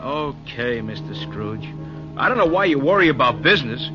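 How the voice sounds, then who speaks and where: An actor playing a put-upon young clerk in a 1940s radio play, whining and wisecracking in slangy New speech. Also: male voice, medium pitch, big pitch swings, moderate pace, over music, natural voice. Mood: aggrieved. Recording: muffled vintage 1940s radio recording.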